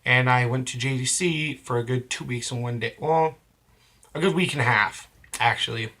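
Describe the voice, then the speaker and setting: A young man with a bright voice roughened by a cold talks straight to camera. He tells a confessional story in a halting, rambling way, often stopping mid-phrase to correct himself. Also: mid-range voice. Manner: rambling, halting, frequent restarts and self-corrections. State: hoarse from a cold. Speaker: vlogger. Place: at home.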